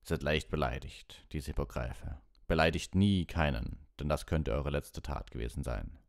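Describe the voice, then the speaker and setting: A man voicing a kindly, gruff giant gamekeeper who teaches clumsily in simple, folksy words in a storybook reading. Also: rumbling low, normal speed, no room sound, studio quality. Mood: proud, instructing.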